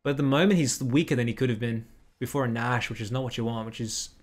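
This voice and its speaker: A young man commentating a game replay in casual, blunt style, recorded at home on a headset. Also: mid-range voice. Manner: blunt, critical, quick asides. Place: small room at home.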